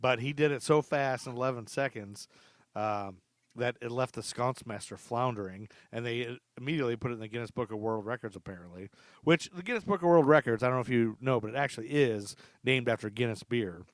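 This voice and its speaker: A man's voice: medium pitch, fairly quick, no echo. A man hosting a casual history podcast, rambling through a story and laughing often. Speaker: podcast host.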